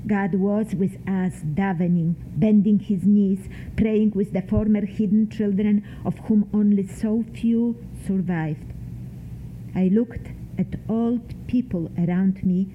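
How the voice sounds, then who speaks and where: An older woman reads her own memoir, slow and deliberate, dwelling on single words. Her pitch sits in an ordinary middle range. The sound is thin and phone-like, with a faint hiss underneath. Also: steady tone. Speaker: memoir author reading her work. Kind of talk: slow, measured literary reading.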